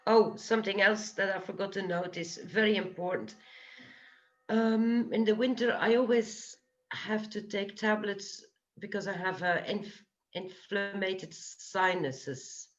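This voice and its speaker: A woman's voice: mid-range voice, fairly even intonation, slow. Earnest woman on a muffled call. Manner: earnest, hesitant with restarts. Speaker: guest giving a testimonial. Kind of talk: casual video call chat.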